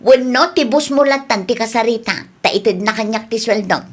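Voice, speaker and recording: A woman's voice: on the high side, moderate pace, natural voice. A woman with an Ilocano accent playing a pushy, teasing friend who lends money, in a comedy radio drama. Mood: insistent. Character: pushy woman creditor friend demanding her money back.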